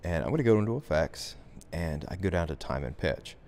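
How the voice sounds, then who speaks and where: A man giving a software tutorial as instructor, talking through the steps in an informal, conversational way. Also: low voice, lively intonation, a little fast, a little hiss or hum.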